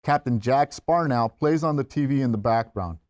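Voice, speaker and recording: A man's voice: medium pitch, moderate pace, darkish tone. A man reading scripted stage directions as narrator at a table read.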